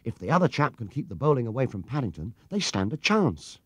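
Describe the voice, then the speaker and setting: A man voicing an eager, excitable schoolboy who exclaims over a cricket match in a storybook. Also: mid-range voice, a little fast, slightly rough, no room sound, studio quality, pitched above the performer's own voice. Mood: excited.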